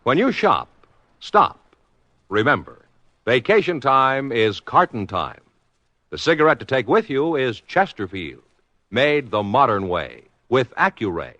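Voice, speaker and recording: A man announcing a 1950s radio advertisement with an emphatic, polished sales pitch. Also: very animated, a little slow, pushed and bright, dry recording. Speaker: commercial announcer. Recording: dull, dry vintage radio recording.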